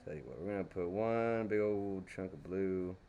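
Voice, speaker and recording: A man's voice: sits low, normal speed, mellow, highs cut off. Folksy Texas man chatting while painting. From Dallas, Texas. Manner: folksy, chatty, talks to himself while working. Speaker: crayon painting streamer. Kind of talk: casual painting chat.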